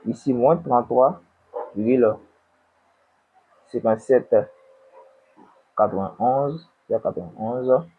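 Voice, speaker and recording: A man with a cold, tutoring an econometrics exercise and dictating numbers slowly while asking himself questions. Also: medium pitch, breathy edge, phone-quality sound. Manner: slow, dictating numbers, asks and answers himself. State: has a cold. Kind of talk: screen-recorded worked exercise.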